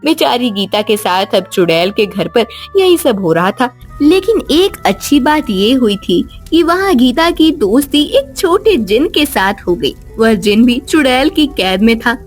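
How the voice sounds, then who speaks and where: Animated woman storyteller, fairly high voice. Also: unhurried, faint music behind. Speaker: narrator. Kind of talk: scripted children's story narration.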